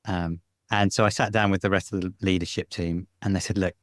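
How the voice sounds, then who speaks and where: A middle-aged man from Britain tells a family story in a relaxed, chatty way, laughing now and then. His voice sits on the low side and sounds close and dry, with no room around it. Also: fairly quick, dry recording. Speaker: podcast guest. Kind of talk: casual interview storytelling. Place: home, lives in Spain.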